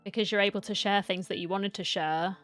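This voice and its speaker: A woman streamer with a bright, forward voice and middling pitch. She gives casual, empathetic game reactions, talking straight to the characters. Faint game music sits behind her. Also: fairly even intonation, fairly quick. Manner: empathetic, talks back to the characters.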